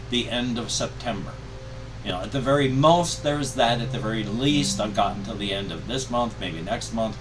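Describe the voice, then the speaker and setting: An older man talking in a casual personal vlog, rambling and stressing his words emphatically. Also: medium pitch. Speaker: video creator. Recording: distant mic, music behind. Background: music.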